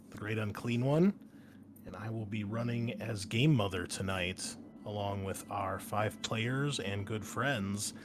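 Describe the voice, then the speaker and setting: A man hosting a tabletop game podcast as game master, upbeat and jumping louder on key words. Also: fairly low pitch, background noise.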